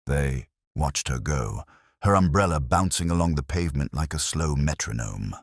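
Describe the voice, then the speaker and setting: A man narrating a scripted mystery story in a measured, wry, literary way. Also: rumbling low, animated, no room sound, clean studio sound.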